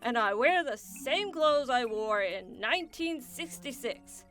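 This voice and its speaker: Woman doing sardonic giant swamp zombie, chatty, bright high voice, music underneath, radio comedy. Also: animated, a little slow. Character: jaded nine-foot swamp zombie man, wryly complaining. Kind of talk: comic radio play acting.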